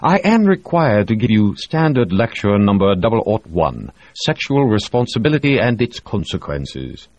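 A man's voice: on the low side, sing-song, moderate pace, hardly any pauses, a little mellow, no echo, muffled recording, pitched above the performer's own voice. A man voicing a sly, leering English ship's doctor who talks in a smug, teasing way. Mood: mock-serious. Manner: smug, teasing, with a crooked grin.

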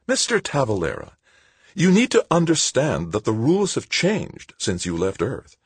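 A falsely cheerful middle-aged woman official from an audiobook, acted out by a man. The voice is lifted high and husky, lilting in a sing-song. The manner is sugary, pious and condescending, as if addressing a small child. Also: huge pitch swings, moderate pace, darkish tone, no echo, exaggerated voice. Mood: patronizing. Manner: sing-song, condescending schoolteacher tone, syrupy sweet. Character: plump fortyish woman bureaucrat, falsely cheerful, patronizing, pious. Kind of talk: audiobook character dialogue.

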